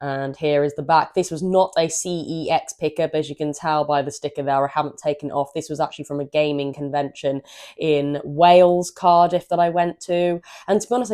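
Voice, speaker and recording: A woman hosting a gaming channel, hoarse from a cold, chatting in an upbeat, rambling way and stumbling over her words now and then. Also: low-pitched, warm tone. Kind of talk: casual chatty walkthrough of a game manual.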